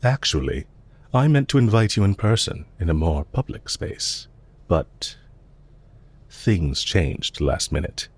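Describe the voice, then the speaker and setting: A man playing a courteous, calm villain leader in an audio roleplay, speaking in a polite, measured, formal and softly commanding way. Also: low-pitched, husky, full character voice. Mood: concerned, apologetic. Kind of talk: scripted roleplay audio drama.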